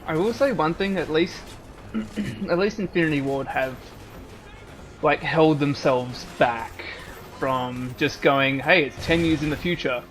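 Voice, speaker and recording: A man's voice: fairly high pitch, measured pace, a bit bright, soft background music. A man giving casual let's-play commentary, joking and rambling as he riffs on the game. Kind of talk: casual gaming banter.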